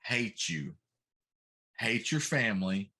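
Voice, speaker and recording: A man's voice: fairly low pitch, big pitch swings, slow pace, sharp tone. A middle-aged man co-hosting a casual talk show, complaining in an emphatic, comically exaggerated way. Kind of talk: casual chat.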